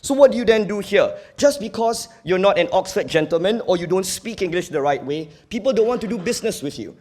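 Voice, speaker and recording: A man's voice: high voice, animated, a little fast, a little mellow. A young man with a Singaporean accent giving a competitive debate speech in an earnest, emphatic, argumentative manner. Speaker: university debater.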